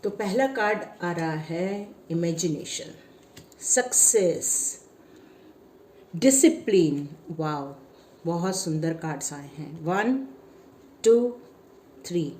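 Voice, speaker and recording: A woman with a sore, slightly strained throat, giving a pick-a-card tarot reading in a gentle, encouraging way. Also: lowish voice, expressive, slow, pauses often, slight background noise. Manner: gentle, encouraging, repetitive guidance. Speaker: tarot reader. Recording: echoey room.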